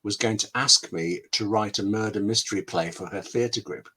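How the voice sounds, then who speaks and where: A man's voice: fairly low pitch, moderate pace, bright, forceful tone, slightly rough, poor audio. A man answering interview questions as a scriptwriter guest on an online webinar, candid and self-deprecating, speaking in short emphatic phrases.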